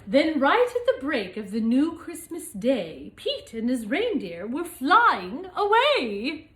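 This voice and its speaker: A woman's voice: high voice, wildly swooping pitch, slow pace, fairly bright tone. A woman storyteller in the role of Mrs Claus, reading a picture book aloud to young children with chatty asides.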